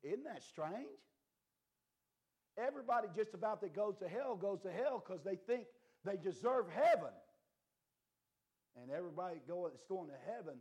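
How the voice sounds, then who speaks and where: Impassioned preacher man, slightly hoarse. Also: sits high, animated, moderate pace, pauses often. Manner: impassioned, swinging between quiet and loud. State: losing his voice a little. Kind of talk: fiery sermon.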